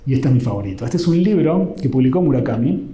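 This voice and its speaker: A man's voice: mid-range voice, very animated, fast talker, dark tone, echoey room. A man with an Argentine accent giving an informal talk on literature, often ending his phrases with a questioning "¿no?". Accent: Argentine Spanish. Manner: conversational, keeps adding "¿no?" at the end of phrases. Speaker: literature lecturer.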